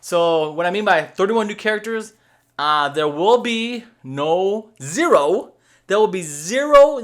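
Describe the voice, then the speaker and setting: A man in his thirties talks straight into a desk webcam in his room at home, gesturing as he goes. His voice sits on the higher side for a man. He rambles through fillers and restarts and keeps checking in with "okay?". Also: a bit bright. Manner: waves his hands while talking. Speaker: gaming video host. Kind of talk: casual explainer to viewers.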